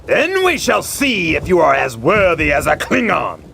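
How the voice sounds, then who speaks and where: An actor playing a haughty, menacing alien warrior commander who presides as judge in a video game, declaiming and gloating. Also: male voice, fairly high pitch, huge pitch swings, moderate pace, vocal fry, heavily acted. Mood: indignant, menacing. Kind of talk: dramatic video game voice acting. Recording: noisy early-90s game audio.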